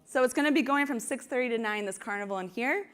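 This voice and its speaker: A woman's voice: a little high, fast, fairly bright tone. A woman hosts a live convention in a ballroom, hyping the crowd and reading out announcements in an upbeat way. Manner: upbeat, hypes the crowd, laughs easily. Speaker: convention organizer and host. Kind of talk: live event hosting and announcements. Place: large ballroom.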